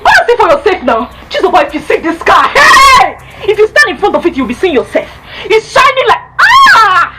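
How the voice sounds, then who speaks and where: Very high, excitable Nigerian village gossip. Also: female voice, normal speed. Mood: excited, wailing. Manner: exclaiming, breathless repetition. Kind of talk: film comedy-drama acting. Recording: very noisy background.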